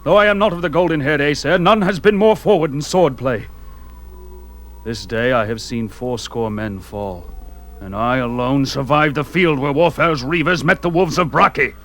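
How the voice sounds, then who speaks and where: Man acting a battle-worn barbarian, proud, defiant, declamatory, medium-pitched male voice, archaic phrasing, fantasy audio drama. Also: normal speed, a bit bright, natural voice. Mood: proud, urgent. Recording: music under the voice.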